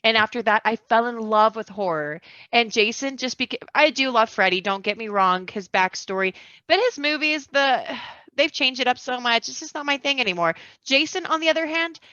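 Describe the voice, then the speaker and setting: A woman's voice: fairly high pitch, animated, moderate pace, sharp tone, no echo. A woman guesting on a podcast, chatting in an informal, rambling way. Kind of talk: casual podcast chat. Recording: dull, lo-fi microphone audio.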